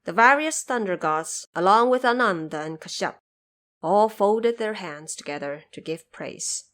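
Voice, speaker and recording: A woman narrating a fantasy tale as a scripted audio drama, with theatrical, deliberate phrasing. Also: medium pitch, lively intonation, a bit bright. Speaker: storyteller, narrator.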